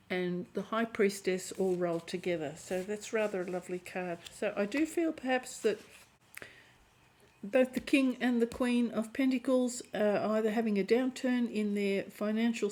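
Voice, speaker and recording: A woman giving a chatty tarot reading to camera, hoarse and often clearing her throat as her voice fades. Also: mid-range voice, darkish tone. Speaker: tarot reader.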